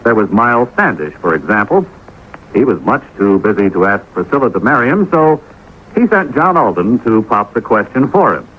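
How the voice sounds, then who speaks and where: A man's voice: lowish voice, sing-song, normal speed. An American man giving a folksy, conversational scripted public service message as a 1950s radio announcer. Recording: dull, slightly noisy old radio recording.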